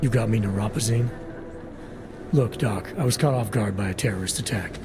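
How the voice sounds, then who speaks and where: A man performs a gruff augmented male agent from a video game cutscene. His voice is low and gravelly, his delivery terse, deadpan and guarded, and there is clear music behind him. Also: a little fast, lightly acted. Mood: skeptical, dry.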